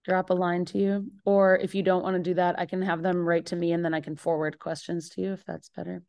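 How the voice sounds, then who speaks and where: Female moderator, slightly low pitch, casual meeting chat, appreciative and encouraging delivery. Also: flat delivery, fairly quick, vocal fry, a little mellow, clean tone. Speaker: session moderator and choir teacher.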